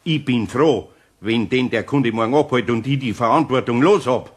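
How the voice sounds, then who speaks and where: An actor with a Bavarian accent playing a good-natured but fussy older master carpenter in a radio play, grumbling and fretting in a homely way. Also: male voice, medium pitch, moderate pace, breathy edge, natural voice. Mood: grumbling, fond.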